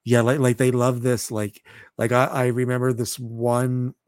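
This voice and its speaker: A man in his thirties chatting casually as a podcast host, halting and often repeating words as he goes. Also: level tone, a little mellow, clear, resonant tone, no room sound, clean studio sound. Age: 30s. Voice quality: deep voice. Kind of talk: casual chat.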